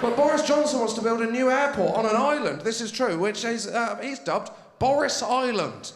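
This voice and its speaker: A male comedian hosting a TV stand-up show, finishing a set and then shouting out the acts to a live studio audience. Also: very high voice, lively intonation, moderate pace, noisy background. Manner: loud, shouted announcements to the crowd. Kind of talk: stand-up routine and hosting links. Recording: roomy studio with a roaring crowd.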